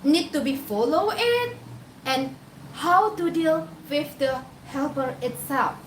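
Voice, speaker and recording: An Indonesian-accented woman with a high, lively voice talks through a live stream, posing questions and answering them herself. She sounds set back from the microphone in a roomy space with a faint hiss behind her. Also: slow, recorded from a distance. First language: Javanese. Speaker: live-stream host advising employers on hiring helpers. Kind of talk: casual live-stream talk.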